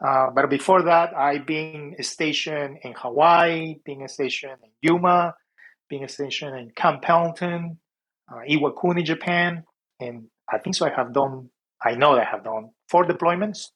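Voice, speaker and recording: A man's voice: fairly high pitch, fairly bright tone, dull audio. A middle-aged man from Peru, a guest in an interview, explaining earnestly in lists, with some restarts. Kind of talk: casual interview talk.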